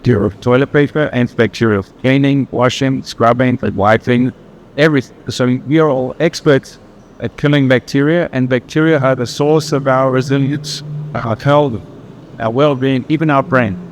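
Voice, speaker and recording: A man's voice: mid-range voice, steady tone, normal speed, a little hiss or hum. A man from New Zealand with a New Zealand accent presents an exhibition as its curator, in an emphatic style that builds points through repetition. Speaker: exhibition curator, architecture professor. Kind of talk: guided exhibition walkthrough. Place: exhibition gallery, indoors.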